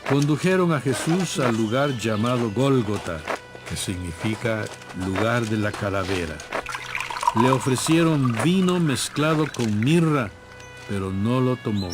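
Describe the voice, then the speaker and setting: Guy narrating a dramatized bible, mid-pitched voice, music bed under him. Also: animated, unhurried.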